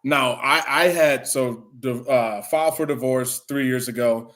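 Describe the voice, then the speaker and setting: A man's voice: mid-range voice, measured pace, a bit bright. A man in his forties telling a long, rambling story in a loose, chatty way. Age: 40s. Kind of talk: casual chat, rambling storytelling.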